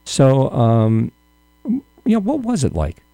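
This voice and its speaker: A man's voice: medium pitch, huge pitch swings, slow pace, dark tone, no echo. A man hosting an interview, asking long, rambling questions full of fillers and restarts. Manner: rambling, hesitant, many fillers. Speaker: radio interview host. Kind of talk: conversational interview.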